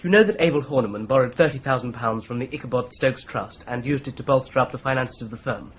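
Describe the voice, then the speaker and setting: An actor playing a polite, methodical young law clerk who presses his points in a calm, persistent way, in a radio drama. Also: medium pitch, quick pace, a little hiss or hum, close to an everyday voice. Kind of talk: 1970s radio drama acting. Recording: slightly dull broadcast recording.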